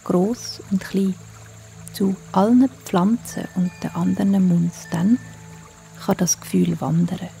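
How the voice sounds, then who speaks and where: A woman with a Swiss German accent, telling a bedtime story in a soft, hushed, soothing way. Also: on the low side, steady tone, takes it slowly, creaky, soft background music.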